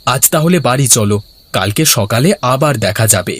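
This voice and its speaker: A man's voice: medium pitch, lively intonation, normal speed, close to an everyday voice. A man playing a patient, hardworking village farmer, husband and father in a folk-tale cartoon dub. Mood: practical, coaxing. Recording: music behind the voice.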